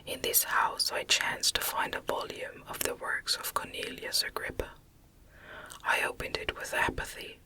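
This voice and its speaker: A woman whispers a literary narration in ASMR style. The whisper is fully breathy and unvoiced, with a bright, airy edge. Her storytelling is soft and measured. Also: rough recording. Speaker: ASMR audiobook reader. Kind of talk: whispered ASMR reading of a classic novel.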